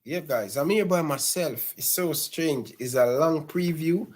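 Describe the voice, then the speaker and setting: A man's voice: a little high, unhurried. A man from Jamaica, co-hosting a casual football chat and often repeating words as he starts his sentences. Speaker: co-host.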